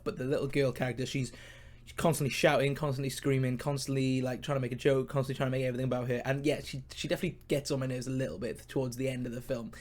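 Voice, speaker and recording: A man with a mid-pitched voice talks informally to his viewers about films. He rambles keenly, leaning on emphatic words and repeating himself, and a mouth ulcer makes his speech sound slightly impeded. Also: a little mellow. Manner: enthusiastic, informal, emphatic and repetitive. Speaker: podcast host, film reviewer. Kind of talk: rambling casual film chat to viewers.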